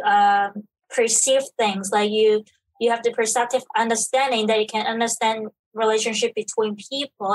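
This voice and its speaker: A woman in her thirties, a podcast guest and evangelist, speaking in a halting way with many fillers and non-native grammar. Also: a little high, rough recording. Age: 30s. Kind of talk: casual interview chat.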